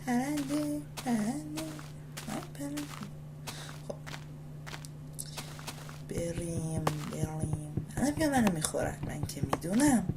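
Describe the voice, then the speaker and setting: A woman with an Iranian Persian accent giving casual, rambling gameplay commentary as a video host, often repeating short phrases excitedly. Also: medium pitch, long pauses, over loud music, poor audio. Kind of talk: casual gameplay commentary, talking to self and viewers. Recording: loud background noise and game ambience.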